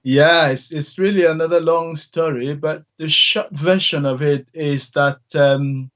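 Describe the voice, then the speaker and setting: A middle-aged man from Nigeria, a church minister, telling his story in an interview with many pauses and fillers. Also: a little high, steady tone, fairly bright tone, muffled recording.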